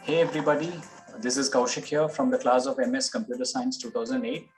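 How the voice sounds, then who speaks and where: A man's voice: medium pitch, fairly even intonation, quick pace, faint music behind. A man, a government officer giving a formal, courteous welcome. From India. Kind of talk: recorded welcome message.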